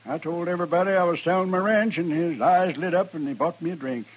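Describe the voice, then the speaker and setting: An actor playing a gullible, excitable old rancher with folksy, rustic speech in an old-time radio western. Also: male voice, sits high, fast, husky, poor audio. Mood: gleeful. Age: older. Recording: vintage radio recording.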